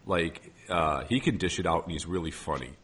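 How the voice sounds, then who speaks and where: A man's voice: low-pitched, moderate pace, a bit bright, husky. A man hosting a casual chat, laid-back and full of fillers, swearing freely.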